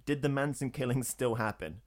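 A man's voice: mid-range voice, fairly quick. A man co-hosting a podcast, chatting in a wry, rambling way. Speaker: podcast co-host. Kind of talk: casual chat.

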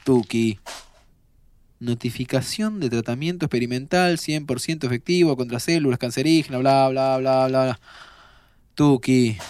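A man's voice: medium pitch, normal speed, darkish tone, clear, resonant tone, natural voice. A man playing a sardonic, restless young Argentine man in an audio drama. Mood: wry. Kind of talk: audio drama acting, muttering to himself.

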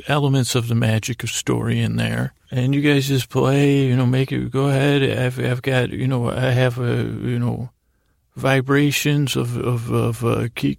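Very gravelly man, halting and meandering. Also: medium pitch, no room sound, studio quality. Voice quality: gravelly, creaky. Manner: meandering, halting, repeats and trails off mid-thought; silly, absurd asides. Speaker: sleep podcast host. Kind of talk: rambling bedtime monologue.

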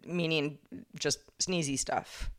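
A woman's voice: low-pitched, fairly even intonation, slow pace. A woman co-hosting a casual chat podcast, wry and self-deprecating, often restarting her sentences. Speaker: podcast co-host.

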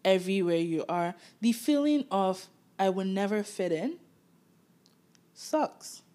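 A woman's voice: medium pitch, animated, unhurried, dark tone. A Nigerian woman in her twenties, co-hosting a podcast in casual, reflective chat and laughing easily. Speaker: podcast co-host.

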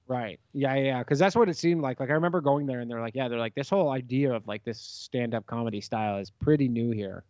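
A man hosting a casual podcast chat, quick and agreeable, saying yeah a lot and trailing off mid-thought. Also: medium pitch, darkish tone, dry recording, dull audio.

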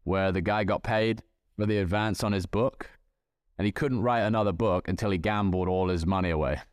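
A man's voice: low-pitched, brisk, smooth, no echo, studio quality. A man speaking as a podcast guest, reflective and thinking aloud with frequent hedges. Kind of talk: casual podcast chat.

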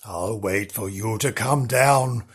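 A menacing male storybook dog, acted out by an adult reader, who barks out taunting threats. The voice is husky and drawn out. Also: mid-range voice, slow, voice pushed down. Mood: low menace. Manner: barking, taunting. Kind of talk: children's storybook villain voice.

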